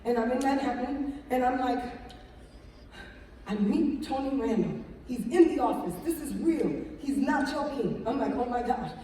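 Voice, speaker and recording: A middle-aged woman telling her story on stage as a motivational speaker, animated, tagging her lines with "right?". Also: fairly high pitch, a little slow, slight background noise. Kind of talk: storytelling talk on stage with slides. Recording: echoey hall from far off.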